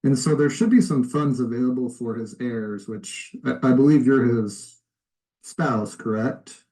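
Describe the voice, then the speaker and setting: A man on an informal phone call, explaining things politely and tentatively with a soft sell. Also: mid-range voice, measured pace. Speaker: cold caller offering help claiming surplus funds. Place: recorded phone call played back in a lesson.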